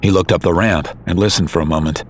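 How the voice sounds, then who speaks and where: A man giving steady, measured scripted narration for an audio drama. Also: low-pitched, noisy background. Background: music.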